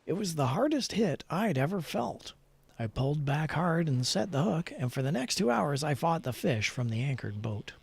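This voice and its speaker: Man, higher-pitched voice, creaky, playful game streamer, storytelling read-aloud delivery. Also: sing-song, moderate pace.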